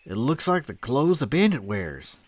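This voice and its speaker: A man's voice: mid-range voice, very animated, moderate pace, background noise, lightly acted. A man playing a proud, romantic cowboy in a melodramatic comic-book Western drama. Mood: puzzled, innocent. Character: proud, smooth-talking cowboy sweetheart accused of rustling.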